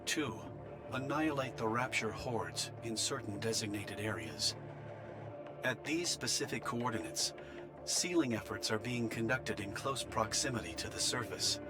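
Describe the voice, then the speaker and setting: An actor playing a solemn male official in a game, formally and diplomatically delivering a military briefing in measured phrases. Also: mid-range voice, expressive, close to an everyday voice. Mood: grave, businesslike. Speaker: official voice of the Ark leaving a recorded message. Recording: music behind the voice.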